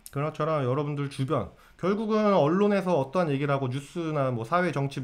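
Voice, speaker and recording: Lively man lecturing on fortune. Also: on the high side. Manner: lively, asks the audience questions and answers himself. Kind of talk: casual lecture to viewers.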